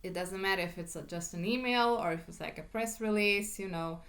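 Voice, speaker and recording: A woman talks about her job in a lively, upbeat way. Her voice sits a little on the low side, and she fills her pauses with plenty of ums and uhs. She speaks over a video call. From Lithuania. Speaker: guest, communications lead. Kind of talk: casual interview conversation.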